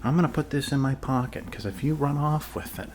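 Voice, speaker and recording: A man's voice: mid-range voice, brisk, slight background noise. A man improvising an ASMR roleplay as a goofy, reassuring doctor, rambling casually and joking as he goes.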